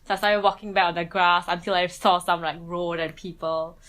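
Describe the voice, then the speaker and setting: Chatty young woman, lowish voice. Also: fairly even intonation, a little fast, a bit bright. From Bangladesh. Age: young adult. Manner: chatty, frequent "like" and "um" fillers, self-restarts. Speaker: student interviewee. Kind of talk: casual personal interview.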